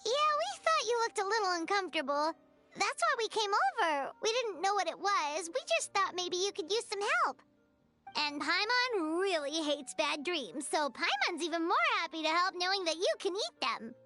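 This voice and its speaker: Very high, bright, chirpy little fairy sidekick for a game, excitable and childlike. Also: female voice, normal speed, faint music behind, full character voice. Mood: eager. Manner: chirpy, refers to self in third person. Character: tiny excitable floating fairy companion, childlike, food-loving. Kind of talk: video game voice acting.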